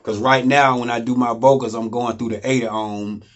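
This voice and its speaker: A man's voice: fairly even intonation, normal speed. A man, casually explaining home studio gear in a loose, rambling way that keeps asking "you know what I mean?". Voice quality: deep voice. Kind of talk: casual how-to talk.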